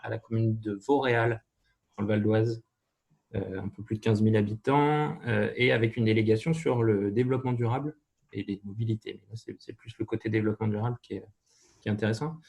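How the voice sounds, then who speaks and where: A man with a fairly low, creaky voice puts a question in an online meeting. He is polite and pauses often, like a new councillor introducing himself. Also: steady tone, darkish tone. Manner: polite, hesitant, pausing. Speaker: newly elected town councillor. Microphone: video call.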